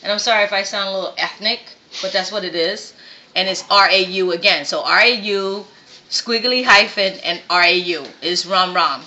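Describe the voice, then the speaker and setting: A woman's voice: mid-range voice, normal speed, pushed and bright. A woman born in Vietnam who hosts a home cooking show, demonstrating step by step in a chatty way and often checking in with okay. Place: home kitchen. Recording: echoey kitchen with faint background noise.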